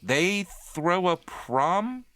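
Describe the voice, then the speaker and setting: A man's voice: high voice, very animated, drawn-out words, a bit bright, dry recording. A man co-hosting a podcast in casual, bantering conversation. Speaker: podcast co-host.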